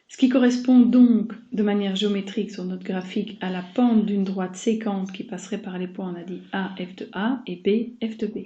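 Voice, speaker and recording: Mellow-voiced woman teaching math, roomy muffled recording. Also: medium pitch. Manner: didactic, step-by-step, addressing students directly. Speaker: mathematics teacher. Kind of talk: explanatory recorded math lesson. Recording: dull muffled sound, roomy echo.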